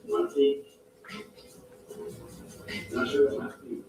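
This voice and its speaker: A woman's voice: slow pace, warm tone. A woman telling a rambling, emphatic personal story. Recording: echoey distant clip with music.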